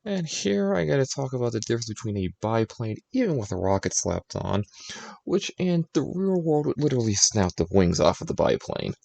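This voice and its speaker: A man's voice: mid-range voice, big pitch swings, fairly quick, gravelly, darkish tone, dry recording. A man streaming as he writes fan fiction, casually explaining his choices and thinking aloud. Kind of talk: casual commentary on his own writing.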